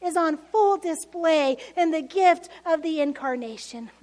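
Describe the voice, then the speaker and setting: A woman's voice: sits high, measured pace, a little mellow. A woman with a Texas accent preaching a sermon. She is earnest and emphatic, and sometimes drops her voice almost to a whisper. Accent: Texan.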